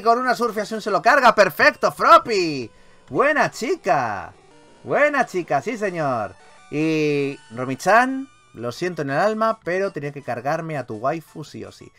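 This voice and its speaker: A man with a Spain accent plays through a video game as its host. His voice sits a little high and swings in pitch as he repeats phrases and throws in swear words. Light game music runs behind him. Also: sing-song, a little slow, fairly bright tone. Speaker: gaming YouTuber, host. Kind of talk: casual, excitable Pokémon let's play commentary.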